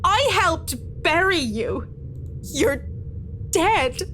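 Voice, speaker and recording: A woman playing a grown woman in a visual novel, grieving and shaken, speaking in a halting, earnest way. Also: very high-pitched, sing-song, sharp tone, slight background noise, full character voice. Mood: pained disbelief.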